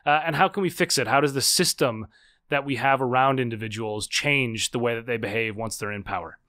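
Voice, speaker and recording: A man's voice: mid-range voice, brisk, pushed and bright, dry recording, studio quality. A man, an author and political scientist, answering interview questions in an articulate, earnest way with frequent fillers. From United States.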